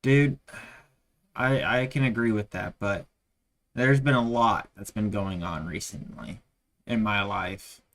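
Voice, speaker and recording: A man's voice: fairly low pitch, slow pace, a bit bright. A tired man losing his voice, rambling with many fillers as he chats casually on a live trading stream.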